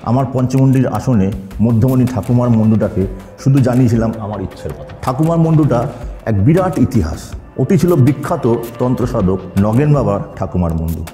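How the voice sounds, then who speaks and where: Dark-voiced man narrating horror. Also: mid-range voice, lively intonation, brisk, roomy sound. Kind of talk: dramatic scripted audio story narration. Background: music.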